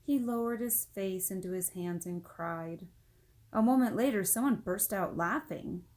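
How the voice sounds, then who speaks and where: A woman reading a children's book aloud as an expressive storyteller. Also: medium pitch, measured pace. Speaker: storybook reader.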